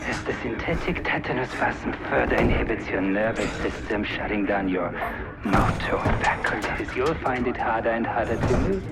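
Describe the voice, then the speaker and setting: An actor playing a sadistic older scientist villain in a video game, measured and clinical. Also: male voice, loud music behind the voice, natural voice. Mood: clinical, gloating. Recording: very noisy, rumbling background.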